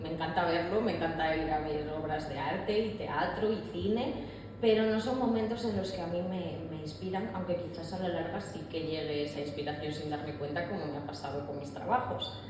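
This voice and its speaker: A woman giving a candid, reflective public talk, often pausing and restarting her sentences. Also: on the low side. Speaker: artist speaker. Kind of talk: public talk on mental health. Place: large echoey hall. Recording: echoey hall with long reverb, audible room noise.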